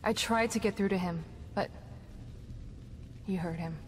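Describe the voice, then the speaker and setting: A woman playing an earnest, sympathetic ally in a horror video game, speaking in a serious, measured, sincere way. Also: mid-range voice, slight background noise, close to an everyday voice. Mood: shaken. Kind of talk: dramatic video game acting. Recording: long reverb, music behind voice.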